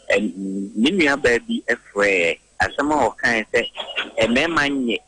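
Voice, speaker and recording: A pastor calling in to a radio show and giving preachy, moralizing advice. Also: male voice, fairly low pitch, sharp tone, breathy edge, low-quality recording. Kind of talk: phone-in advice.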